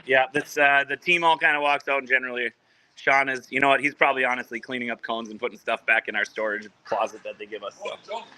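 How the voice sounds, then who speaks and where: A man's voice: medium pitch, fairly even intonation, fast talker, bright, forceful tone. A man from a soccer club talking casually on a video call from the training ground, rambling with many restarts. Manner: rambling, full of restarts and fillers. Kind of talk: casual remote interview.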